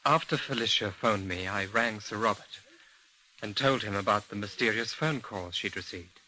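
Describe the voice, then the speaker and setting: An actor playing a cool, serious man in an old-time radio drama, speaking in a terse, deliberate way. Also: lowish voice, bright, forceful tone, low-quality recording. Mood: explanatory. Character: grave, cool man bringing bad news, woman's lover.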